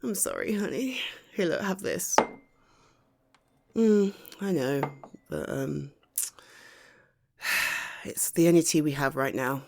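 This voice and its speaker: Soothing, lower-voiced British girlfriend, acted by a woman. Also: slow, gravelly, pauses often, slight background noise, exaggerated voice. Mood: tender. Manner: soothing, chatty, hesitant, trailing speech. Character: caring, tired British girlfriend home from office. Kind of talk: intimate ASMR girlfriend roleplay.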